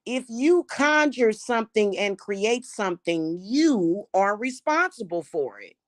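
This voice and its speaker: A woman's voice: mid-range voice, animated, a little slow, dry recording, studio quality. A woman from Missouri with a Missouri accent, talking to her viewers in a blunt, scornful, mocking monologue. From Kansas City, Missouri. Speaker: spiritual practitioner and channel host.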